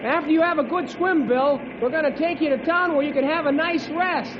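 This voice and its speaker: An actor playing an eager, good-natured young ranger pal who chats folksily in an old-time radio drama. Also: male voice, extremely high pitch, brisk. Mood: skeptical. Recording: dull old radio recording, faint noise.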